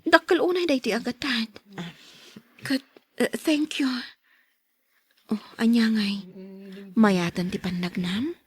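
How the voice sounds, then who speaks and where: A woman with an Ilocano accent acting a firm, brisk caregiver who is pushy but caring, in a radio drama. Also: on the high side, husky, exaggerated voice. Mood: soft, grateful.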